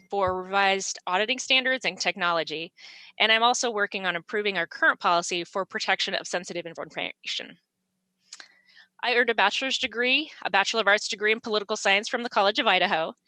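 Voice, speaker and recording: Bright-voiced woman giving a formal report. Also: medium pitch, no room sound, low-quality recording. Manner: earnest, steady report with occasional fillers. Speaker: auditor. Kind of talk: formal self-introduction at a public meeting.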